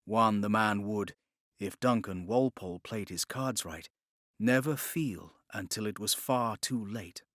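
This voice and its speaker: A man narrating scripted fiction as an audiobook reader, with measured, dramatic, expressive storytelling. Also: lowish voice, no room sound, studio quality.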